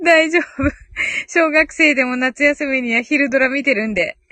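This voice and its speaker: A woman's voice: high voice, pushed and bright, no room sound. A woman hosting a casual live audio stream, giggly and thinking aloud as she reacts to listener comments.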